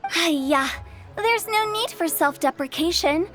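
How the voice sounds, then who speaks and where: A woman's voice: extremely high pitch, lively intonation, unhurried, fairly bright tone, heavily acted. A woman playing a playful, theatrical young funeral parlor director with a teasing manner in a video game. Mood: chatty. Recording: faint music behind the voice.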